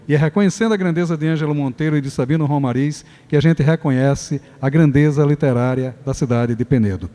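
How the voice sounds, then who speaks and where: A Brazilian man giving a formal opening speech as a festival organizer, reflective and lofty, pausing and restarting his phrases. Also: fairly high pitch, dark tone. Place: theater stage at a live event. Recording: theater hall reverb.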